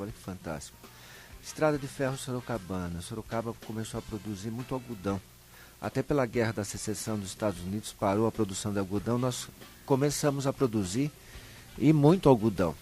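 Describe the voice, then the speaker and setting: A man with a São Paulo countryside Brazilian accent, telling stories in a colloquial, animated way as a guest in a live interview. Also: on the low side. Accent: Brazilian Portuguese, São Paulo interior. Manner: animated storyteller, acts out short dialogues, colloquial. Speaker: interview guest, local historian.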